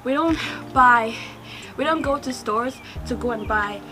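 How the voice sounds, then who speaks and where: A woman's voice: a little high, a little slow, over music. A young woman with a Nigerian accent, chatting casually to a handheld camera outdoors in the heat and laughing often. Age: young adult. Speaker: vlogger. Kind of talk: casual vlog chat to camera. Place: outdoors by a house in hot sun. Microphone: handheld camera at arm's length.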